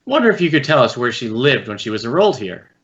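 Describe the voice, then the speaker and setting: A man's voice: mid-range voice, sing-song, fast, a bit bright, highs cut off. An American man playing a plainspoken police detective in a radio drama, talking casually in short, terse replies. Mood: inquiring. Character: plainspoken married male police detective, the partner. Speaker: detective's partner. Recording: noisy vintage radio drama recording.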